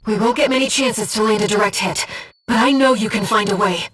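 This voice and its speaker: A woman voicing a gentle, earnest disembodied guide to a mercenary pilot in a video game, with a soft, measured, composed delivery. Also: mid-range voice, husky, far from the mic. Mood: solemn, gentle. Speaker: mission support operator. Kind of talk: scripted video game voice acting.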